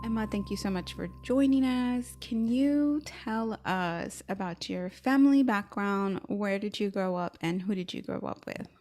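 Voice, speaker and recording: A woman's voice: medium pitch, measured pace, few pauses, darkish tone, soft background music. A woman hosting a career podcast, upbeat and encouraging as she introduces guests and asks questions. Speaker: podcast host, career coach. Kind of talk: scripted intro then casual interview.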